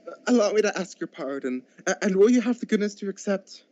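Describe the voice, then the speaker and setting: Guy doing high swoopy old miser turned generous, excitable radio drama, kinda muffled lo-fi. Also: fast, no echo. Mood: giddy, eager. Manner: stammering, excitable. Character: reformed old miser, giddy, generous, mock-stern with his clerk. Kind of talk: school radio drama acting. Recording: dull lo-fi home recording.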